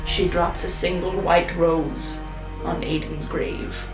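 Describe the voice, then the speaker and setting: A woman's voice: fairly low pitch, fairly even intonation, slow. A woman telling an improvised spooky tale in a playful, chatty way, laughing at herself. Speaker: storyteller. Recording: roomy, off-mic, music behind.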